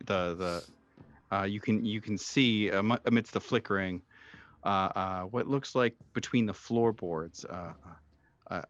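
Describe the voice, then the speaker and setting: A man narrating a horror tabletop roleplaying game as game master, feeling out his words with halting repetitions. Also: sits low, fairly bright tone, dull audio.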